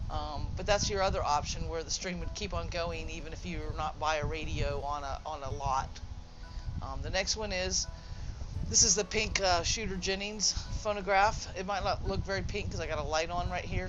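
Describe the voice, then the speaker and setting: A man's voice: on the high side, muffled recording. A man giving a casual, unscripted game tutorial in an informal, explanatory way, correcting himself as he goes. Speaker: gamer presenting a demonstration. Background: video game music.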